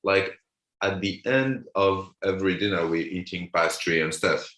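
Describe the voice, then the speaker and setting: A man's voice: low voice, moderate pace, fairly bright tone. A man, chatting casually as a guest comedian, often repeating words as he searches for them. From France. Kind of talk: casual chat. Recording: roomy echo.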